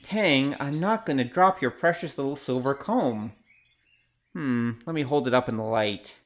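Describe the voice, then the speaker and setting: A cocky young adventurer , acted by a man, breezy and wry, with a very lively voice. He is chatty and confiding as an audio drama storyteller, on a slightly dull recording. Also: mid-range voice, normal speed, natural voice. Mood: breezy, reassuring. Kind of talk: audio drama acting and narration.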